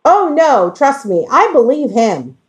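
A woman in a casual discussion, speaking haltingly as she thinks aloud and corrects herself. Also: high-pitched, very animated. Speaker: podcast discussion guest.

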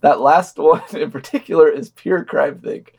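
A man's voice: on the high side, normal speed, gravelly, darkish tone, slightly rough. A man reading a scripted podcast news digest with wry commentary and dry, sardonic asides.

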